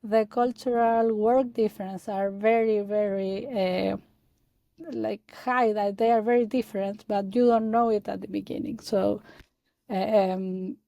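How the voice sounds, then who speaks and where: Woman from Chile, halting and soft. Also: medium pitch, fairly even intonation, gravelly, no echo, muffled recording. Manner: halting, many fillers and restarts. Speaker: guest, data analyst. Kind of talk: casual interview talk.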